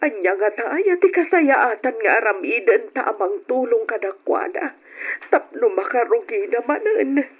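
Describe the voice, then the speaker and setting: Subdued, grieving older wife. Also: female voice, on the high side, animated, moderate pace, husky. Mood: sorrowful. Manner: subdued, sorrowful delivery. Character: weary, grieving, self-blaming older wife and mother. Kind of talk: Ilocano radio drama acting. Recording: dull, muffled radio drama recording.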